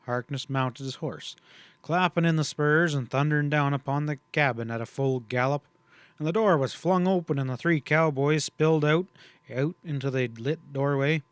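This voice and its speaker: A man narrating a western audiobook with a steady, even delivery at a middling pitch. The sound is dry and close, with no room around it. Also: clear, resonant tone, dry recording. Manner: steady, even storytelling read. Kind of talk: scripted narration.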